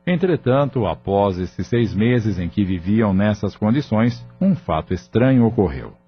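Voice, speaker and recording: Deep-voiced Brazilian man narrating over music. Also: lively intonation, unhurried, breathy edge, dull audio. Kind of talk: scripted audio drama narration. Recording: band-limited recording with music bed.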